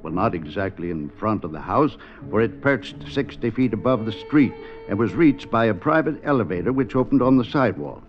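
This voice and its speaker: An actor narrating a 1950s radio suspense drama in a wry, measured storytelling manner. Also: male voice, fairly low pitch, expressive, lightly acted. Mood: measured, suspenseful. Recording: dull 1950s radio recording. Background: dramatic music.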